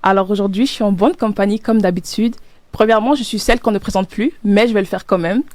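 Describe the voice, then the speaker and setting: A woman's voice: mid-range voice, fast, a little mellow, no echo. A young woman from Cameroon hosting a casual podcast panel, upbeat and laughing often as she hunts for words. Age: young adult. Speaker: podcast host.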